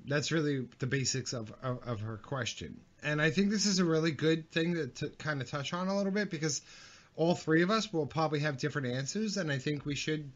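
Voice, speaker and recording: A man's voice: a little high, lively intonation, fairly quick. A man hosting a podcast who rambles and repeats himself while reading viewer questions. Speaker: podcast host. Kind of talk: casual chat, reading viewer comments. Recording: dull lo-fi stream audio.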